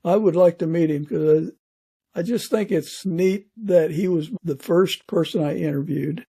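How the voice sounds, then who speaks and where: A male podcast host with a fairly high, husky voice and a soft, dark tone. His speech is creaky as he chats casually with his guest. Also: normal speed. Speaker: podcast interviewer. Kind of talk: casual interview chat.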